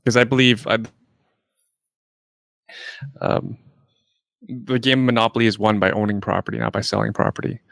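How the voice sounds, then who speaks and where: A man hosting a casual interview, relaxed, laughing often and asking short questions. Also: lowish voice, normal speed, gravelly, pauses often, no echo. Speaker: podcast host.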